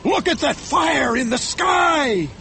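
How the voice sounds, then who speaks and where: A man voicing a fierce, obsessed sea captain in a storybook, declaiming defiantly in loud, drawn-out cries. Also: extremely high pitch, expressive, vocal fry, a little hiss or hum, pitched above the performer's own voice, heavily acted. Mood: wild, exultant. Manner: shouting, drawn-out declamatory delivery. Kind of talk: dramatized storybook narration.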